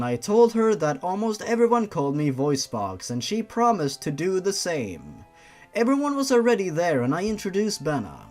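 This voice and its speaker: Animated, higher-voiced man reading theatrically. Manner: theatrical, sarcastic. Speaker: host reading fan fiction aloud for mockery. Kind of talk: dramatic story narration broken by sweary, exasperated asides.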